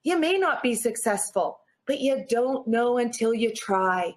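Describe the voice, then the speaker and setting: A woman's voice: on the high side, lively intonation, a little slow. A Canadian woman speaking as an interview guest, earnest and encouraging in a motivational chat. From born in Newfoundland, grew up in Nova Scotia, Canada. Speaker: interview guest, natural athlete.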